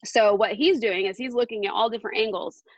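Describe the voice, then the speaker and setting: An earnest woman around forty shares a personal story as a podcast guest, pausing often with fillers. Her voice sits at an ordinary pitch. The recording is dry and a little dull. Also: no echo. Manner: earnest, halting, with many fillers.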